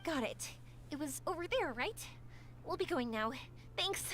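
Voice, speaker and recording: High-voiced woman streamer reading dialogue. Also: unhurried, a little hiss or hum. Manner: gentle reading, loud excited reactions. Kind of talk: reading game dialogue aloud.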